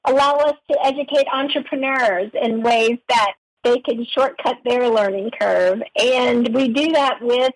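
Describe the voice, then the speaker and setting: Female podcast co-host, high and husky-breathy, jokey and self-mocking. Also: measured pace, hardly any pauses, dry recording, low-quality recording. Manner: playful, self-mocking, laughs often. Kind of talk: joking podcast banter.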